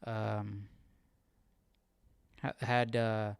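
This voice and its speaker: A man's voice: on the low side, flat delivery, very slow, vocal fry, smooth, no echo. A man hosting a Bible study livestream, reading aloud and commenting casually, often questioning and checking for agreement.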